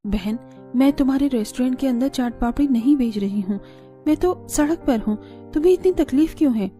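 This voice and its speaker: A woman voicing a poor, hardworking street vendor and wife in a moral cartoon, speaking gently and earnestly. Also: sits high, a little fast, faint music behind, close to an everyday voice. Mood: protesting. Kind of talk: Hindi moral cartoon voice acting.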